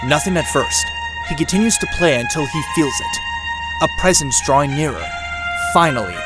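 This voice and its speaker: A man's voice: on the high side, lively intonation, normal speed, loud music behind the voice, close to an everyday voice. A man narrating an audio drama in a scripted, dramatic storytelling style.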